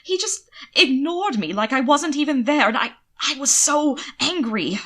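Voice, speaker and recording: A woman voicing an indignant young gentleman prone to giggles in a dramatic audiobook reading. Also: high-pitched, lively intonation, unhurried, pitched above the performer's own voice. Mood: indignant, amused.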